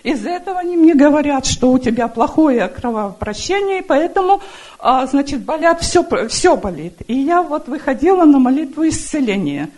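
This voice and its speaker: High-voiced middle-aged woman giving testimony. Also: very animated, darkish tone. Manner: earnest, halting, with many fillers. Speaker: church member giving testimony. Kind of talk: spoken personal testimony.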